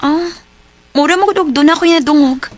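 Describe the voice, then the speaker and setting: A woman's voice: high voice, a little fast, natural voice. A woman with a Cebuano accent acting a sweet young girlfriend in a radio drama. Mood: suspicious.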